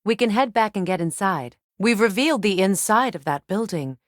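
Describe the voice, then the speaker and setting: A woman playing a solemn, compassionate, determined ancient goddess in a video game, speaking in a measured, formal, earnest way. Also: mid-range voice, expressive, no echo, clean studio sound, close to an everyday voice. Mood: focused, instructive. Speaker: video game character voice actor. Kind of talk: dramatic game dialogue.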